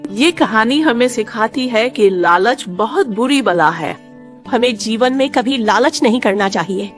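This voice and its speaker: A woman's voice: fairly high pitch, expressive, measured pace. A woman narrating a scripted moral for a cartoon story. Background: faint music.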